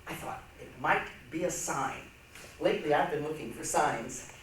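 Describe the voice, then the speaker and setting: A woman performing dramatic monologues and recitation on stage with expressive, theatrical comic timing. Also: sits low, normal speed. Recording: echoey hall from far off, faint noise.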